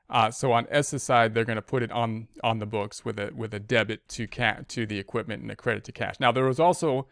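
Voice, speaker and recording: A man's voice: medium pitch, gravelly, fairly bright tone, dry recording, clean studio sound. A man giving a step-by-step spreadsheet tutorial as an instructor, thinking aloud and often repeating his phrases. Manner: repeats phrases while working through steps. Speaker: accounting instructor.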